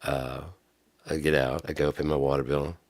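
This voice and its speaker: Man, very low voice, raggedy from smoking, rambling casual storytelling, dry close sound. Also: level tone, moderate pace, dry recording. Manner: rambling, profane, laughing often. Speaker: vlogger telling a story.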